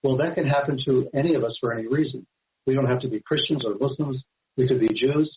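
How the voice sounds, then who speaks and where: A man's voice: medium pitch, fast, a little hiss or hum. A man speaking as a guest author in a radio interview, explaining at length in a conversational, emphatic and digressive way. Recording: narrow-band phone-like line.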